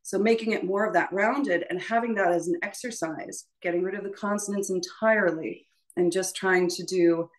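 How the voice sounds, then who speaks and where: A woman's voice: medium pitch, fairly even intonation, normal speed, rough recording. A woman leading a choral workshop as a music teacher, explaining informally and conversationally, often checking in with her listeners.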